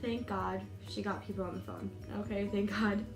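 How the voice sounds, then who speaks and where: A woman's voice: medium pitch, fairly even intonation, normal speed. Chatty young American woman, echoey room. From United States. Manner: chatty, many "like"s and "um"s, laughs nervously. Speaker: vlogger. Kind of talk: storytime vlog. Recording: echoey room with music behind.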